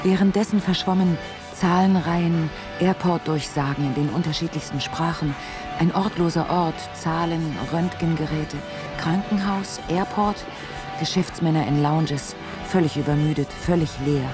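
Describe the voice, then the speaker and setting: A woman's voice: sits low, steady tone, moderate pace, close to an everyday voice. A woman playing a detached narrator who reads film-script directions in clipped fragments in a radio drama. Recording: music behind the voice.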